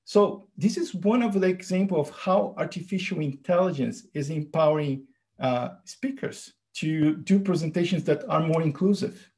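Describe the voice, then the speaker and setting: Middle-aged man, earnest presenter, slightly high voice, explanatory with fillers. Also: normal speed, a little mellow. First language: Portuguese. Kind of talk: tech presentation talk.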